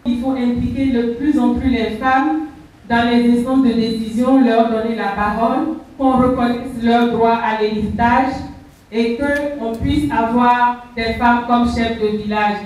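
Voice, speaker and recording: A woman with a fairly high voice addresses a meeting. The space is very reverberant and the microphone is some way from her. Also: fairly even intonation, a little slow, a little mellow. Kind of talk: public address. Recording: very echoey hall, distant microphone.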